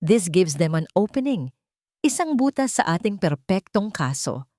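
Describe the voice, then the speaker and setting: Woman narrator as female attorney, audio drama, calm, pointed, wary, formal, wide intonation, dry close sound. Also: on the low side, no room sound. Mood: alarmed, critical. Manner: deliberate, formal, mixes English legal terms into speech. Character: calm, sharp female lead lawyer, pressing and wary.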